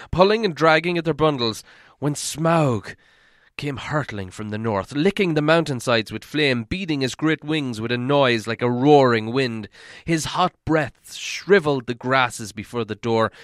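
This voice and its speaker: Male narrator for a fantasy audiobook: medium pitch, dramatic and deliberate delivery, dry studio-like sound. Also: a bit bright, no room sound. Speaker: audiobook reader. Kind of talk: dramatic scripted storybook narration.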